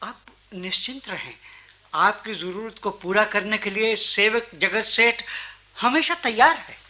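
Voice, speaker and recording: An actor playing a falsely humble, calculating rich banker courtier in a historical radio drama, speaking formally and insinuatingly. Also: male voice, high-pitched, measured pace, bright, forceful tone, exaggerated voice. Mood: obsequious. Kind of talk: theatrical Hindi radio historical drama acting.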